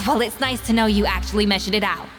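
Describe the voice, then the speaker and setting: A woman's voice: medium pitch, expressive, a little fast, in a large hall, over music, lightly acted. An actress playing an elegant, exacting woman chef who judges dishes with dry, cutting remarks. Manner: poised, dryly cutting.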